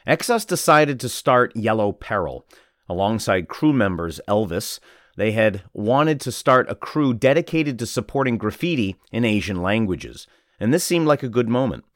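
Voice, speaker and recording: A man's voice: mid-range voice, no echo. Man reading an article, dry close sound. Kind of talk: scripted narration.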